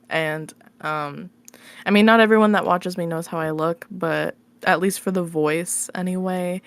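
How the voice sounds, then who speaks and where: A woman chatting casually as an interview guest, informal and tentative, with a self-effacing way of talking. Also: lowish voice. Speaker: interview guest, audio roleplay creator. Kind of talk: casual chat.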